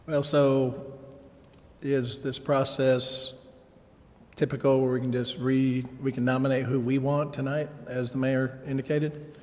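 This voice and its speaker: A man speaks as a council member in a meeting, asking about process. His delivery stays flat, and the room adds a long reverb. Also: medium pitch, a little slow. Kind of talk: meeting discussion, questioning. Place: indoor council chamber.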